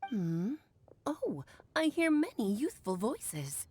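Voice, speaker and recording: A woman's voice: a little high, sing-song, takes it slowly, a little mellow, slight background noise. An actress playing a playful, eccentric mother witch, coy and grandly formal, in a video game cutscene. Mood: curious.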